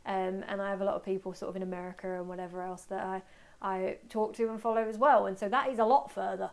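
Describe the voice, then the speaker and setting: A woman giving an informal live webinar as a workshop presenter, chatting away in an excitable, self-deprecating manner and taking audience questions. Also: mid-range voice, fast. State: voice slightly going from talking.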